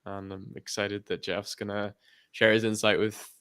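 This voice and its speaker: A man's voice: on the low side, flat delivery, normal speed, fairly bright tone, smooth. A man in his twenties hosting an interview webinar, upbeat and welcoming. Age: 20s. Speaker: webinar host.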